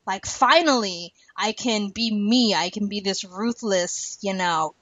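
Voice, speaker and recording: Female podcast host, forceful and sharp, opinionated chat style, recorded very dry with slightly dull audio. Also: medium pitch, measured pace, dry recording. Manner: emphatic, exasperated rhetorical questioning.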